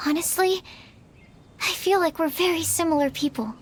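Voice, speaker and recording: An actress playing a shy, earnest, bookish young woman alchemist in a video game, speaking hesitantly and awkwardly. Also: very high-pitched. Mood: earnest, reassuring. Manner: hesitant, soft-hearted, halting phrasing.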